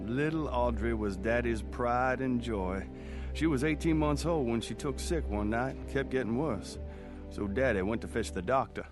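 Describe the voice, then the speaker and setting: A man's voice: medium pitch, moderate pace, over music, close to an everyday voice. An actor playing a plainspoken country man recalling his boyhood, narrating a radio drama with folksy speech. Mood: reminiscing. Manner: folksy storytelling.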